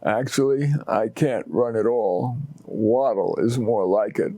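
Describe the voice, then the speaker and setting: A man's voice: medium pitch, slow pace, mellow, dry recording, pitched below the performer's own voice. A man voicing a tired old dog who tells his story in a tender, plain-spoken way. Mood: wistful, plain-spoken. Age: older. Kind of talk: first-person short story reading.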